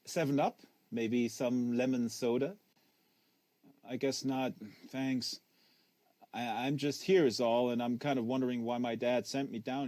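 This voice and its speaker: A man's voice: mid-range voice, mellow, dry recording, natural voice. A man reads as a precocious young boy in a literary audiobook. He is wary and literal, stumbling over some words and exact to the point of pedantry. The sound is close and dry. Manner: hesitant, stammering, pedantically exact. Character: precocious, wary, literal-minded ten-year-old tennis prodigy boy.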